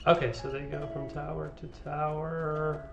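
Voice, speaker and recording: A man's voice: a little high, little pitch movement, normal speed, gravelly, dull audio. A man giving casual playthrough commentary on a video game, thinking aloud as he plays. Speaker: gaming video creator. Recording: roomy echo under loud game music.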